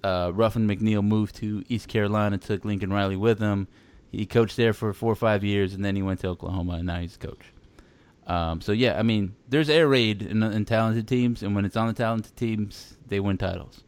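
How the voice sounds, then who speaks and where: A man's voice: lowish voice, steady tone, normal speed, darkish tone, smooth. A man from Texas in casual sports chat, rambling with many restarts and fillers.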